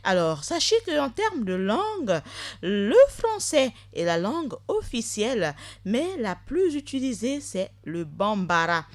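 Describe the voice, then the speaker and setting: A woman hosting a live radio show, talking straight to listeners in a lively way with quick asides. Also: on the high side, no room sound. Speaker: radio host.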